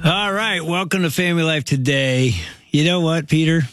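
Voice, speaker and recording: A man's voice: fairly high pitch, moderate pace, music in the background. An American man co-hosting a talk show in a casual, conversational style.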